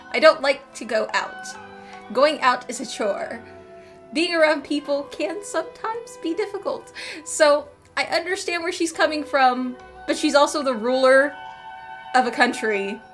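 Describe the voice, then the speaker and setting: A chatty woman streamer commenting casually on a game. Her voice sits on the high side and she sounds playful, with faint game music underneath. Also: a bit bright. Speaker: gaming streamer.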